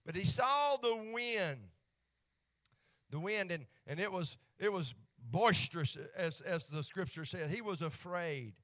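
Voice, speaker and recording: Appalachian preacher guy, folksy and jokey, gets fervent reading scripture, kinda high voice. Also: animated, unhurried, fairly bright tone. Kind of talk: sermon.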